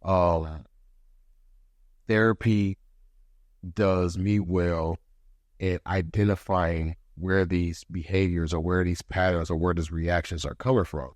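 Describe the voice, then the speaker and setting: A man in his thirties hosting a solo podcast, talking reflectively with pauses, his voice a bit congested from allergies. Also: deep voice, level tone, a little mellow, dry recording, clean studio sound. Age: 30s. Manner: reflective, pauses to gather thoughts. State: congested from allergies, voice deeper than usual. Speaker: podcast host. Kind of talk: casual solo podcast monologue.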